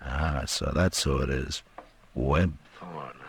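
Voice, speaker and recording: A frontier marshal in a vintage Western radio play. He is American, with a very low voice, and speaks in a measured, firm way, questioning people with calm authority. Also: breathy edge, no echo, heavily acted. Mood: thoughtful. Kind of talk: 1950s radio drama acting.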